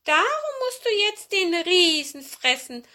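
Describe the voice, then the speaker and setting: A very high, bright old she-cat for a children's storybook. She is sly and coaxing, drawing out her words with wheedling meows. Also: big pitch swings, heavily acted. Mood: flattering, urgent. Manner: meowing, drawn-out wheedling speech. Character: ancient talking cat, female, sly and coaxing.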